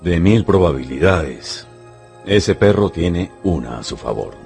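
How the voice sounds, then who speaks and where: An actor playing a grave, formal veterinary surgeon in a radio drama. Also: male voice, low-pitched, expressive, a little slow, vocal fry, darkish tone, faint music behind, full character voice.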